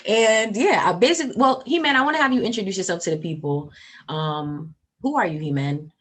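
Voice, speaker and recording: A woman's voice: medium pitch, lively intonation, a little slow, breathy edge. A woman in her twenties hosting a podcast in casual, upbeat chat, full of "like" and "um". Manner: chatty, upbeat, lots of "like" and "um". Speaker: podcast host.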